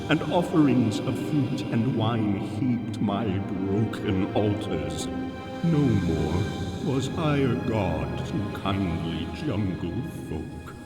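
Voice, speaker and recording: Slow, echoing ancient alien god. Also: male voice, lowish voice. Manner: solemn, grandiose, slow archaic delivery. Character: ancient, enslaved, sorrowful alien god, wise and broken. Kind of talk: full-cast fantasy audio drama monologue. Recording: cavernous echoing reverb, distant, music underneath. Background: music.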